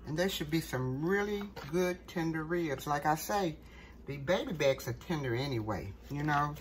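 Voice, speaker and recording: Low-voiced woman, casual home cook. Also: expressive, normal speed. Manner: folksy, often ending statements with "okay?". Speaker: home cooking show host. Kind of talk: casual cooking demonstration to camera. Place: home kitchen, later outdoors at a grill at night. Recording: noisy home video, clear background noise.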